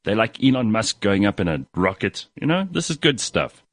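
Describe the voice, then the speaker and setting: A man's voice: lowish voice, sing-song, normal speed, no echo. A man hosting a casual radio chat, enthusiastic and chatty with his guests. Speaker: radio host.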